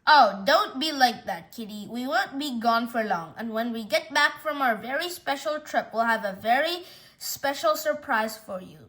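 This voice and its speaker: A woman's voice: fairly high pitch, lively intonation, moderate pace, fairly bright tone. A woman voicing a chipper, sweetly reassuring pet owner who coos in a sing-song way, in a storybook reading. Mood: cheerful, coaxing.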